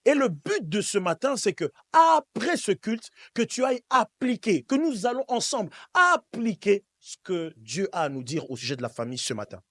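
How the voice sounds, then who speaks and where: Guy preaching, highish voice, repeats himself for emphasis, talks right to the congregation, dry sound. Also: very animated, normal speed, hardly any pauses, fairly bright tone, no room sound. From Switzerland. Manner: emphatic, repetitive, addresses congregation directly. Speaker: pastor, preacher. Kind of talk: sermon with call and response. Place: church service, speaking to congregation.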